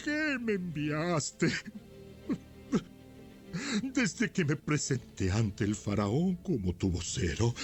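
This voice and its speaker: A man playing a distressed prophet in a dramatized audio Bible, imploring and questioning in drawn-out phrases. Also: mid-range voice, heavily acted. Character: distressed, questioning Hebrew prophet protesting to God.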